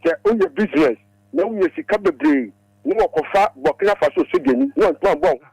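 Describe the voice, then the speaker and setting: A man's voice: extremely high pitch, wildly swooping pitch, normal speed, vocal fry, a little mellow, breathy edge, dry recording, poor audio. A man speaking as a caller on a radio show.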